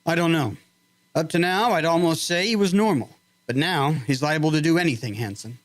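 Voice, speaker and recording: A man's voice: a little high, a little fast, close to an everyday voice. A man acting a worried colleague in a radio drama. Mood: uneasy. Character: worried male colleague watching a crisis unfold.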